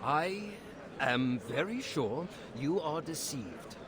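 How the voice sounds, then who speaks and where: An actor playing a haughty, short-tempered security company boss in a video game, commanding and indignant. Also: male voice, on the high side, big pitch swings, takes it slowly, crowd noise. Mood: smug, controlled.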